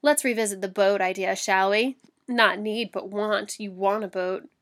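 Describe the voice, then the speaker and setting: A woman voicing a sly, cruel male trader who taunts with a hoarse, hissing voice. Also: medium pitch, steady tone, normal speed, fairly bright tone, natural voice. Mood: taunting. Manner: taunting, wheedling.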